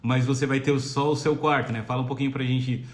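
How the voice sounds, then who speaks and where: Fast-talking host in an echoey room. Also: male voice, medium pitch, fairly even intonation. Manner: quick, informal prompting with fillers like "tipo". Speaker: podcast host. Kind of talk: casual podcast chat.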